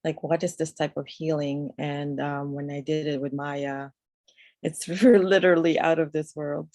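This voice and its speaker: A woman's voice: deep voice, steady tone, a little slow, a little mellow, no echo. A woman raised in New York, a guest on a video call, speaking reflectively and searching for her words. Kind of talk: casual conversation over a video call.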